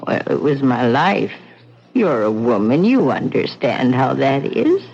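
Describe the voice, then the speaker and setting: An actress playing an ominous older widow who warns of death with grim insistence, on a muffled old radio recording with faint hiss. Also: female voice, a bass voice, sing-song, unhurried, gravelly, a little mellow, heavily acted. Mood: foreboding.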